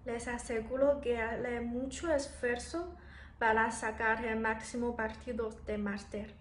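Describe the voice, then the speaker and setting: A young woman from China with a slightly high voice, a native Chinese speaker, reads her prepared application statement to the camera. She speaks carefully and formally. The small bare room gives an echoey sound with faint ambience behind her. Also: steady tone, unhurried, slight background noise. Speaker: master's applicant. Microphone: phone or camera in front, head and shoulders framing.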